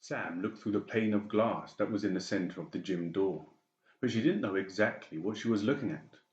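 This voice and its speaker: Very deep-voiced male audiobook narrator. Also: moderate pace, darkish tone. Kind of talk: scripted dramatic story narration. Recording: roomy, slightly dull sound.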